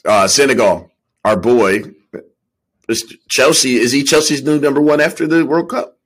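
A man co-hosting a sports podcast, talking soccer casually in a husky voice. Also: mid-range voice, moderate pace, low-quality recording.

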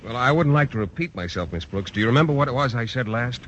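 An actor playing a shy, stiffly formal school coach in an old radio comedy. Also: male voice, medium pitch, lively intonation, fast talker, a bit bright, dull audio, lightly acted. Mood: earnest. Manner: stiff and bashful, formal wording. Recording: very noisy old radio broadcast.

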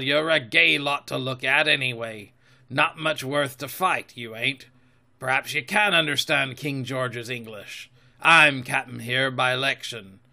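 A menacing older pirate captain from an adventure storybook, acted out by a male reader. He blusters and commands in rough seafaring talk, with a bright, forceful edge and a raised pitch. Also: heavily acted. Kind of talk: audiobook character voice.

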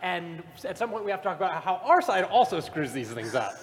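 Fast, high-voiced man in a noisy hall. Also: big pitch swings. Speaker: panelist from the games industry. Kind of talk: casual live panel discussion. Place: large convention panel room. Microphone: stage microphone and PA. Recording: reverberant hall with background room noise.

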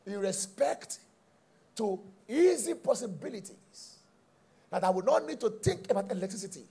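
Male Nigerian preacher, middle-aged, emphatic teaching delivery, husky and very animated in pitch. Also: moderate pace. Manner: emphatic, repeats key phrases, checks in with listeners. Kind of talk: teaching sermon.

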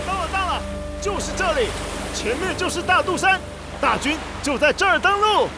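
A man's voice: extremely high pitch, animated, moderate pace. A man playing an excuse-making military subordinate in a radio drama, stammering and wheedling. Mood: eager. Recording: music behind.

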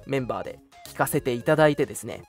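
A man's voice: medium pitch, very fast, faint music behind. A young man hosting an online radio show, making announcements in a polite, earnest, conversational way. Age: young adult.

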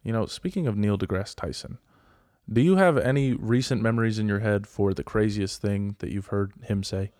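Low-voiced American man, dry close sound. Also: normal speed, darkish tone, dry recording. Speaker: podcast co-host. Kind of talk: casual banter.

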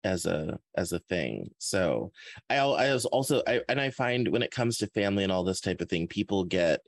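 Guy hosting a casual podcast, lowish voice, dry sound, keeps rewording stuff as he talks. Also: big pitch swings, moderate pace, gravelly, no echo. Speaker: interview host and fiction author. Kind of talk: casual podcast interview chat.

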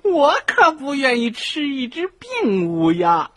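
A woman's voice: high-pitched, big pitch swings, takes it slowly, exaggerated voice. A woman voicing a sly, greedy fox in a children's radio play, gloating and given to mocking laughter. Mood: mock-soothing.

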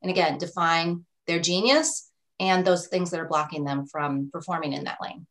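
A woman's voice: on the low side, moderate pace, a bit bright. A woman life coach who used to teach languages, explaining her ideas earnestly in an interview, often adding "you know" and "right?". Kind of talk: interview answers.

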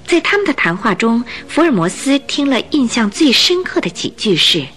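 A woman narrating a radio drama in Chinese, in an expressive, scripted storytelling style. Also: a little high, normal speed, soft background music.

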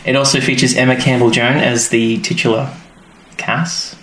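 A man's voice: mid-range voice, level tone, normal speed, fairly bright tone. A man co-hosting a podcast, chatting casually and rambling with many fillers. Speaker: podcast co-host. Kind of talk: casual chat. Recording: roomy with faint noise.

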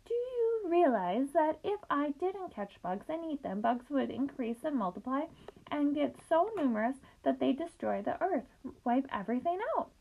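A woman's voice: sits high, big pitch swings, moderate pace, gravelly, darkish tone, pitched above the performer's own voice, full character voice, poor audio. Charlotte, a bold clever spider, read by a woman. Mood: matter-of-fact. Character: bold clever female spider, matter-of-fact trapper. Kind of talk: storybook character reading.

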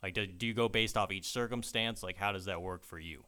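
A man hosting a podcast, asking questions in a laid-back way full of "like" and quick agreement. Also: low-pitched, pushed and bright, clear, resonant tone. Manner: laid-back, lots of "like" and "yeah" backchannels. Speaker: podcast host. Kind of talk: casual interview chat.

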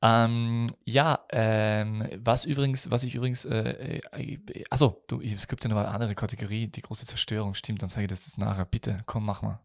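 A low-voiced Austrian man with a gravelly creak, recorded dry with no room sound. He hosts a casual football podcast, speaking haltingly and often searching for his words. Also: fairly even intonation, dry recording. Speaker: podcast host.

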